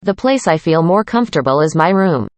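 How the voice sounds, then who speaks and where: Woman, lower pitch, student part, scripted lesson, calm, dry. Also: a little fast, no room sound. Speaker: student in a dialogue. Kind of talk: scripted conversation reading.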